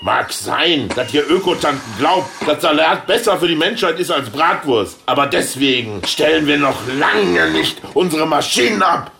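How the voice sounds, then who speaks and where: An actor playing a stubborn, gruff meat-factory heir in a radio play, talking bluntly and colloquially. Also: male voice, a little high, expressive, moderate pace, hardly any pauses. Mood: defiant, irritated.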